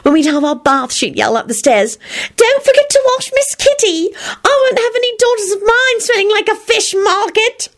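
A woman's voice: sits high, brisk, gravelly, few pauses, voice pushed up. A woman voicing a brazen, coy young woman who gossips in a squealing, singsong way for a story. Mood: brazen, cheerful.

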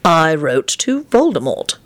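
A woman voicing a mild, pensive young male wizard in a story, calm and deliberate in manner. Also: low-pitched, no room sound. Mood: calm, definite.

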